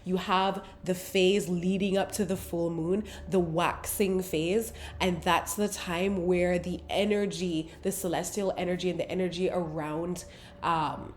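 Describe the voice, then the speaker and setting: Mellow woman with a cold. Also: fairly low pitch, slight background noise. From Caribbean. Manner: affectionate, motivational, conversational. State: congested from a cold, sniffly. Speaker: podcast host. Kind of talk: casual spiritual podcast talk. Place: London apartment near a busy road.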